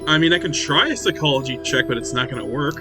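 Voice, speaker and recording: A man's voice: a little high, quick pace, music in the background. A man casually playing a tabletop horror game, moving between table talk and speaking as his character.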